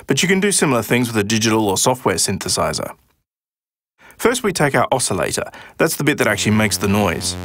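A man's voice: mid-range voice, a bit bright. A middle-aged man narrating a scripted explainer as a sound designer.

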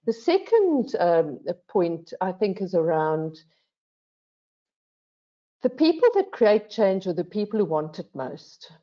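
A woman's voice: mid-range voice, very animated, slow, mellow. An older woman speaking as a panel guest, earnest and emphatic, often posing questions to herself and adding "right?". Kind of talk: reflective discussion. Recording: dull lo-fi video call audio.

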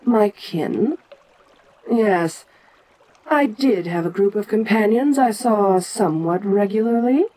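An ancient giantess kaiju queen, acted by a woman, speaks very slowly and with regal deliberation. Her female voice sits at a middling pitch. She muses imperiously, pausing often, as in an intimate audio roleplay monologue. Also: lively intonation, mellow, low-quality recording. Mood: matter-of-fact, commanding.

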